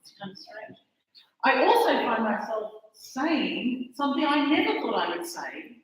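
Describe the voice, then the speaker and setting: An Australian woman gives a chatty conference talk, often halting and restarting mid-sentence. Her voice sits a bit higher than most, and it echoes heavily around a large hall from a mic set well back. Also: very animated, distant mic. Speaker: conference speaker.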